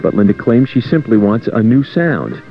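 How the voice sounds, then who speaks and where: A man's voice: medium pitch, big pitch swings, moderate pace. A man reading a scripted music news report for radio as a reporter. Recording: dull lo-fi radio aircheck tape.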